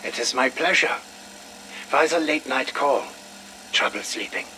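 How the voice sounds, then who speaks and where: An actor playing a guarded, formal doctor with a cryptic, ominous manner, in a video game audio log. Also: male voice, mid-range voice, a little slow, bright, forceful tone, slight background noise. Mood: polite.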